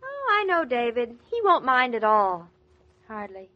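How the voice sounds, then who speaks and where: An actress playing an eager, anxious young newlywed wife in 1940s American radio drama, with a gushing, coaxing manner. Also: female voice, on the high side, wildly swooping pitch, a little slow, muffled recording. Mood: defensive. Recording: vintage 1940s radio recording.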